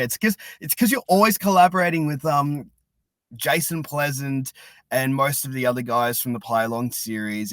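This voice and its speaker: A man's voice: mid-range voice, fairly bright tone, no room sound. A man hosting a casual podcast chat, rambling and often repeating and restarting his phrases. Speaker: podcast host.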